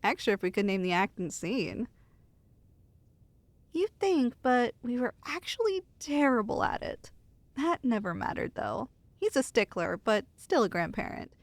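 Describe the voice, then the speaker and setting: A young woman acting as a teasing, affectionate girlfriend in an ASMR roleplay. Her voice is fairly high and swings freely up and down as she chats reassuringly to one listener. It is close-miked and very dry, with a playful, intimate manner. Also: sing-song, measured pace, no room sound. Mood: fond, amused. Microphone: close studio mic.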